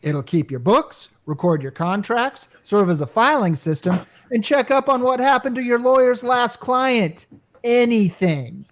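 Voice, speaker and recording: Animated, slangy, exasperated salesman, acted out in a radio play. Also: mid-range voice, measured pace, close to an everyday voice. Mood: sales patter. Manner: colloquial, slangy, wry sales patter. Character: brash, exasperated salesman named Caldwell, wisecracking working-class type. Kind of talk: hobby radio play acting.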